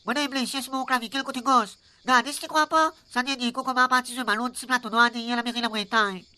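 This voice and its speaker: A woman's voice: a little high, fairly even intonation, very fast, sharp tone. A woman playing a boastful but cowardly young street tough with a Réunion Creole accent in a comic radio serial. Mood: sheepish excuses. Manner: cocky, slangy, rambling.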